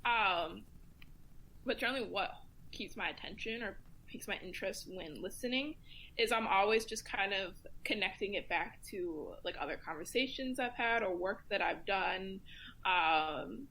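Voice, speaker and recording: A woman speaking as a guest commentator in a casual round-table discussion, relaxed and chatty with many ums and likes. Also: mid-range voice, normal speed, a little hiss or hum, low-quality recording.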